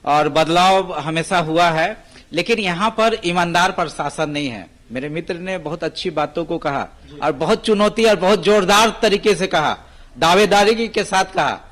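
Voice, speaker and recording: A man's voice: high-pitched, moderate pace, fairly bright tone. A man giving his opinions as a panel guest, earnest and philosophical. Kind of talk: opinionated political discussion.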